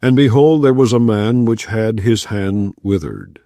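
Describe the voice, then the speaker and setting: A man giving a solemn, measured reading of scripture in archaic language. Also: on the low side, dark tone, no echo, studio quality. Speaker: Bible reader.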